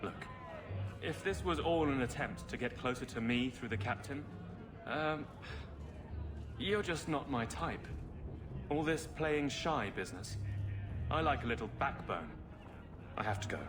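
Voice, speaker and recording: A man's voice: medium pitch, lively intonation, measured pace, long pauses, over music, natural voice. An actor as a polite, hesitant male guardsman. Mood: frank, awkward. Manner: hesitant, courteous. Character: polite, plain-spoken male guardsman, reserved and puzzled. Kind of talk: video game cutscene acting.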